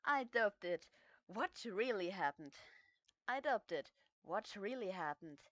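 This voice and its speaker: A woman's voice: medium pitch, lively intonation, no room sound, close to an everyday voice. A young woman voicing a blunt young dragon with a German accent. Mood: puzzled. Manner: slow, deliberate line retakes.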